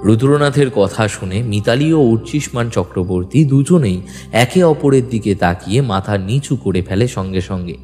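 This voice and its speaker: A man's voice: fairly low pitch, expressive, normal speed, mellow. A man reading scripted dramatic narration as the storyteller of an audio drama. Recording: long reverb, music underneath. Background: background music.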